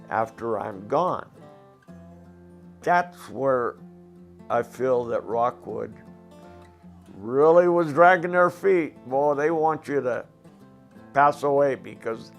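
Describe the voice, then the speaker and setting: Older man, raspy, indignant, documentary interview, soft guitar music underneath. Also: mid-range voice. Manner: plain-spoken, indignant, rhetorical questions. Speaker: former coal miner interviewee.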